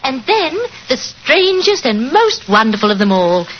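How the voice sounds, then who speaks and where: A woman's voice: sits high, very animated, a little slow. An actress playing a composed young woman narrating her childhood memories in a 1940s radio drama. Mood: reminiscent, composed. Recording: muffled 1940s radio recording, faint noise.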